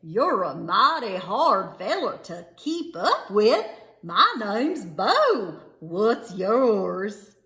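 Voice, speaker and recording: A woman voicing an eager, excitable young boy armadillo from a storybook, with folksy cowboy phrasing. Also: mid-range voice, drawn-out words, reverberant, full character voice. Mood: friendly.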